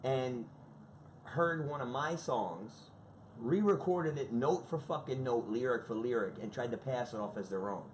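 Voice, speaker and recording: A man chatting casually about his favorite albums, an excitable storyteller who swears freely. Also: mid-range voice, measured pace, slight background noise. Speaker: guest sharing favorite albums. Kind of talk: casual profane chat.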